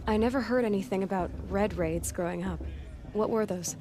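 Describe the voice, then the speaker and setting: Female actor as young outcast hunter for a video game: medium pitch, direct, curious, slight ambient noise. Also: brisk, natural voice. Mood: curious, cool. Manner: direct, inquisitive. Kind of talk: video game dialogue acting.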